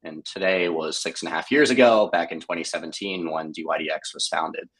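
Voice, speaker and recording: A man in his thirties, a company founder, explaining things in a measured, conversational way, sounding a bit hoarse. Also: deep voice, fairly bright tone, low-quality recording. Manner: measured, explanatory, occasional filler. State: slightly hoarse from many meetings. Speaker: company founder being interviewed.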